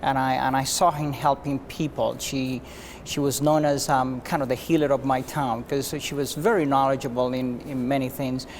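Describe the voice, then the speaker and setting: A man from Cuba, a physician guest, answering interview questions in an earnest, measured way with frequent restarts. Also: mid-range voice, steady tone, slight background noise.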